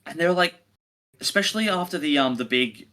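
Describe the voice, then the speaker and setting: A man co-hosting a casual review discussion, talking in a halting way as he thinks aloud. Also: a little high, expressive, sharp tone. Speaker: podcast co-host reviewing audio drama.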